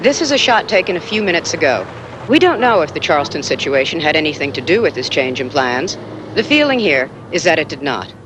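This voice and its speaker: Higher-voiced, animated field correspondent. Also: male voice, brisk, background noise. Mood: composed, factual. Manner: formal, factual reporting. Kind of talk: live news report in a TV drama.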